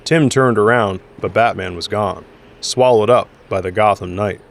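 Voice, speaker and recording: A man's voice: lowish voice, moderate pace. A man reading scripted dramatic story narration as the narrator of an audio drama.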